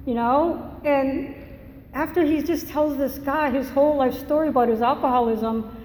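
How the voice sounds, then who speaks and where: Brief: older woman, Massachusetts accent, slightly high voice, frank storyteller, roomy hall with faint hiss. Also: fairly even intonation, moderate pace, darkish tone. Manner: candid, rambling, self-deprecating humor. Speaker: speaker at a recovery meeting. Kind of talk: personal testimony talk. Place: meeting hall. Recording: echoey hall, faint noise.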